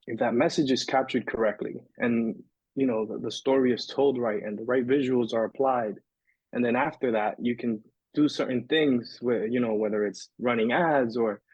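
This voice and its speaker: Husky guy from the dominican republic, rambly and easygoing, kinda muffled dry sound. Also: mid-range voice, darkish tone, no room sound. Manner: loose and rambling, many fillers and restarts. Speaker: podcast guest, videographer. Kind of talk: casual interview storytelling.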